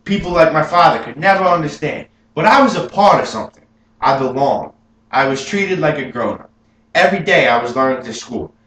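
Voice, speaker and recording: A man's voice: on the high side, a little fast, pitched above the performer's own voice. Young guy doing a proud gangster looking back on his youth, earnest and building, roomy room with a faint hum. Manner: earnest storytelling, rising with excitement. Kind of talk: memorized film monologue.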